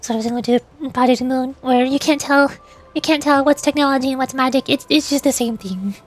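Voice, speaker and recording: Very high-pitched woman streamer, casual chatter. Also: a little fast, soft background music. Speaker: livestreamer. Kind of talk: casual livestream chat, reading out chat messages.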